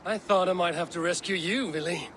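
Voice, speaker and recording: Frank, loyal Viking warrior, slightly high male voice, earnest game-cutscene delivery. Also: a little fast, a bit bright. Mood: wry. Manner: earnest, blunt, teasing among friends. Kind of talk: video game cutscene acting. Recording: noisy game ambience behind dialogue.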